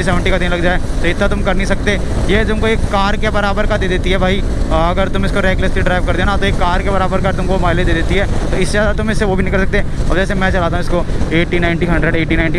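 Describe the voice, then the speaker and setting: A young man vlogging casually from his motorbike, chatty and repetitive, calling the viewer "bhai" all the time. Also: sits high. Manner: chatty, repeats himself, says "bhai" constantly. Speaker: motovlogger. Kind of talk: casual vlog chat while riding. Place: outdoors on a motorbike in city traffic. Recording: loud road noise while riding. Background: loud traffic and engine noise.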